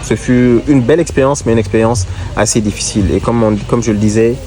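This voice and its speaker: A man with a cold and a congested voice, looking back on a motorcycle road trip and giving advice in a measured, halting way. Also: medium pitch, warm tone. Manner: measured, halting, gives advice directly to viewers. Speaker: motorcycle traveler. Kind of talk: casual reflective talk to camera.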